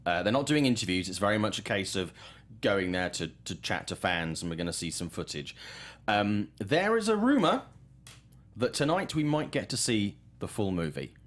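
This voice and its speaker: Low-pitched, lively man chatting about films. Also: normal speed. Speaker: film news show host, reporter. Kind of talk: casual film chat.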